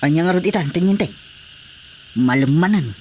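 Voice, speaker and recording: A man's voice: on the high side, expressive, moderate pace, darkish tone, a little hiss or hum, natural voice. A man playing an earnest army corporal on a search, in a radio drama.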